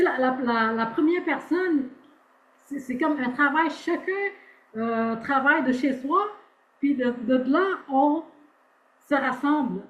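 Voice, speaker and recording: A woman, speaking as a guest over a video call in a halting way with many restarts. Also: high-pitched. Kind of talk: casual podcast interview. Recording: tinny echoey call audio.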